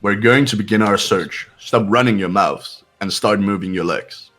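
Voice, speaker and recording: A man's voice: lowish voice, normal speed, a bit bright, faint music behind. A man voicing a cold, haughty, impatient young intellectual with clipped, imperious delivery in a fan game dub. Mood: irritated, disdainful. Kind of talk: fan playthrough character voice acting.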